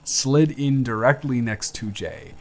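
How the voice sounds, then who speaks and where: A man's voice: mid-range voice, a little slow, a little mellow, natural voice. A young man playing a chatty, friendly barista who is sweet on a friend, in an intimate one-sided audio roleplay with casual, slightly stammering delivery. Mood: amused, animated. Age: young adult. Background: music.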